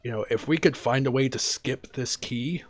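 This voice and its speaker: A man streaming a video game, talking casually and quietly about what he's doing in a relaxed, chatty way. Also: medium pitch, normal speed, faint music behind. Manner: soft-spoken, relaxed and chatty. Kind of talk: casual gameplay commentary.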